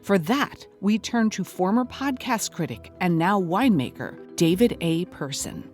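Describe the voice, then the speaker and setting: A woman's voice: mid-range voice, expressive, unhurried, a little mellow, soft background music, natural voice. A woman playing an earnest investigative podcast host, delivering scripted narration in a mock documentary audio drama.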